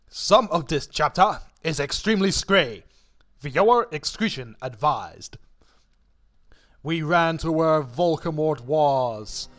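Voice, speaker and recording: A man giving a theatrical, deliberate dramatic reading of fan fiction. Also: fairly high pitch. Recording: music playing behind the voice. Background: background music.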